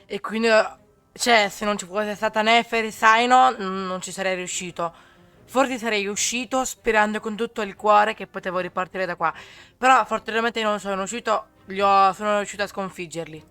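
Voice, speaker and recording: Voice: medium pitch, brisk, pushed and bright, clear, resonant tone, faint music behind. A game streamer giving casual, offhand commentary during gameplay.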